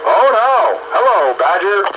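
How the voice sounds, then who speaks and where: A man's voice: high voice, very animated, slow pace. A man in casual conversation over a two-way radio link. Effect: two-way radio transmission. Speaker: amateur radio operator. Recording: dull, noisy two-way radio.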